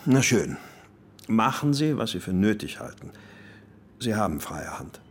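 An actor playing a reluctant, authoritative colonel, a police superior in a radio crime drama, speaking with grudging authority. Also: male voice, fairly low pitch, sing-song, a little slow, a little hiss or hum.

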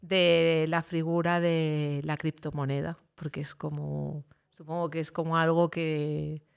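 A woman's voice: sits low, flat delivery, a little slow, vocal fry, clean tone. A woman hosting a casual podcast conversation, leading with questions and tagging her points with "¿no?". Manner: leads with questions, tags "¿no?". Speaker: podcast host.

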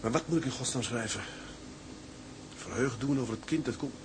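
A man's voice: mid-range voice, fairly quick, background noise, lightly acted. An actor playing a troubled, homesick young seaman who broods and talks to himself in a radio drama. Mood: anguished, brooding. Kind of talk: Dutch radio drama monologue and dialogue.

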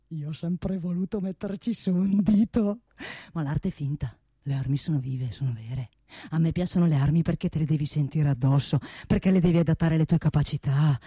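Radio theatre: blustering, restless male industrialist, soft dark tone, dry close sound, bossy and repetitive. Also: low voice, breathy edge, dry recording. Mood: enraptured. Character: blustering wealthy male gun-factory boss, provincial, restless. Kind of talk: solo stage monologue for radio theatre.

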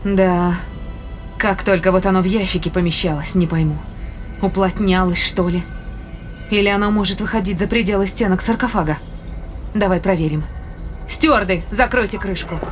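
An actress playing a brisk, curious spaceship scientist with a dry, commanding manner in a science fiction audio drama. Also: female voice, medium pitch, steady tone, faint music behind, lightly acted.

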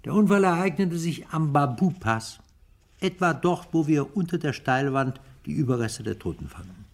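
A man's voice: on the high side, animated, normal speed. An actor playing a stern, insistent police detective in a German radio crime drama, arguing his case with emphasis. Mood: matter-of-fact, pressing.

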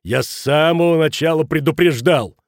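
A man's voice: on the high side, normal speed, darkish tone, no echo, lightly acted. Male reader doing a bitter accusing guy who got robbed, indignant n shaky, audiobook drama, dry sound. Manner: indignant, trembling, reproachful. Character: bitter, accusing man robbed of his savings.

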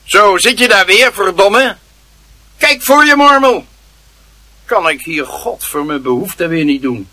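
A male narrator puts on an irate, swearing neighbour in a storybook reading. The voice is high and swoops about, with a sharp, forceful edge and an irritable, snapping delivery. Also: expressive, a little slow, pauses often, no echo, heavily acted. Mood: furious. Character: angry cursing neighbour snarling at a cat.

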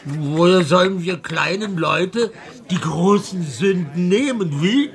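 Slow-talking, resentful schoolteacher in tavern. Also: male voice, high-pitched, animated. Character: stubborn, resentful small-town schoolteacher, socialist tippler. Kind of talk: German radio play acting.

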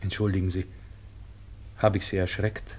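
An actor playing a reserved, evasive gentleman in a radio drama, speaking formally, hesitantly and with subdued gravity. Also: sits low, flat delivery, moderate pace, slightly rough. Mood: uneasy, grave. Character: reserved, evasive gentleman bringing grave news. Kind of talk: 1960s German-language radio drama acting.

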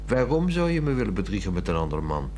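Deep-voiced wry husband of fifty. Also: male voice, sing-song, fast talker, darkish tone, a little hiss or hum. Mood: dry, guarded. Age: 50s. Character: wry, reserved husband of fifty, cautious but curious. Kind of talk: 1960s Dutch radio drama acting. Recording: 1960s radio play, music behind.

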